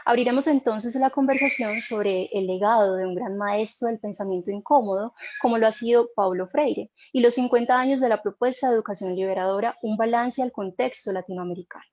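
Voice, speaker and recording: A woman moderating an online panel, reading a formal introduction in a measured way. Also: fairly high pitch, a little mellow, muffled recording, a little hiss or hum.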